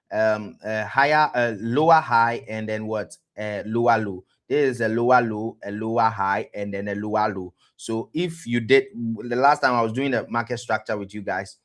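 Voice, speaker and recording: A man with a West African accent hosting a live-streamed trading lesson, pausing to ask "what?" and answering himself, often repeating words as he goes. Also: fairly low pitch, fairly bright tone. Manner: quizzes listeners with rhetorical "what?" prompts; repeats words and restarts phrases. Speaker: trading educator, stream host.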